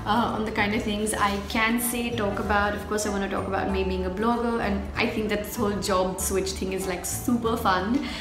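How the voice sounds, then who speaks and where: A woman's voice: medium pitch, fairly even intonation, normal speed, hardly any pauses, over music. Chatty young woman vlogging. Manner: chatty, upbeat, peppered with "like" and "um". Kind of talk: casual vlog talk to camera.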